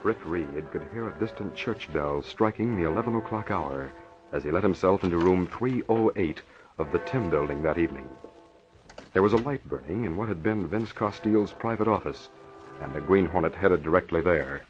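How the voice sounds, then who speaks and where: A man with a deep voice reads dramatic, formal narration for a 1940s radio drama. The sound is dull and vintage, with faint music behind him. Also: animated, normal speed. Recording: muffled old radio recording, faint music.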